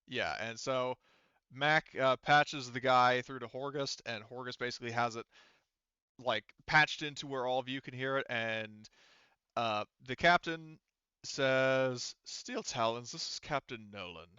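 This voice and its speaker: Halting male game master, bright voice. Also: mid-range voice, clear, resonant tone, no room sound, lo-fi sound. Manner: halting, piecing story together. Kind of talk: improvised tabletop game narration.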